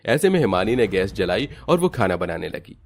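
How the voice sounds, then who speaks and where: A man's voice: on the low side, sing-song, moderate pace. A man narrating a scripted moral story for a cartoon in a storybook style.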